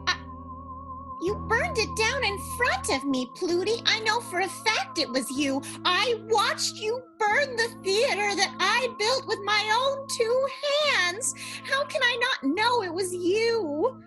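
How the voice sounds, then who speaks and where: Very high, timid, girlish ingenue. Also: animated, slow, heavily acted. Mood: wary, wondering. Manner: hesitant, stammering. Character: sweet, timid, innocent girlish vaudeville ingenue. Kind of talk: improvised old-time radio play. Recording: music behind the voice.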